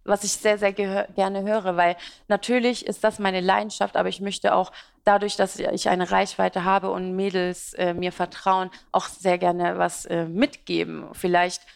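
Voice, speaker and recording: A chatty woman in her twenties, born in Iraq with Aramaic as her mother tongue, talks about her work as a blogger. She rambles, laughs often and fills her pauses with hesitations. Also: mid-range voice, little pitch movement, gravelly, clear, resonant tone. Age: 20s. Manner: talkative, rambling, frequent laughter and fillers. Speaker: beauty and fashion blogger, guest. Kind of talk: interview answers.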